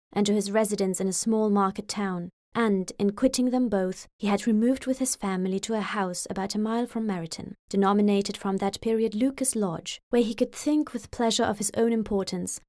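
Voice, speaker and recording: A woman's voice: medium pitch, a little mellow, dry recording, clean studio sound. A woman reading scripted literary narration as an audiobook narrator.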